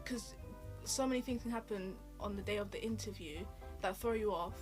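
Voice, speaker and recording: A woman's voice: mid-range voice, moderate pace, soft background music. A woman co-hosting a talk in a casual chatty style, chiming in to clarify and restate points. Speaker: co-host. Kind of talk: casual chat.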